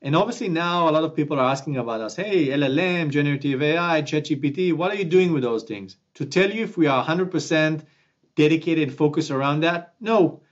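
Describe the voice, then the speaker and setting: A man, a founder answering questions in a remote interview, animated and prone to restarts. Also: fairly high pitch, fairly quick, highs cut off. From Israel. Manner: animated, gestures with both hands, many restarts. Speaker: company founder guest. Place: small office at home, indoors. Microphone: webcam at desk, video call.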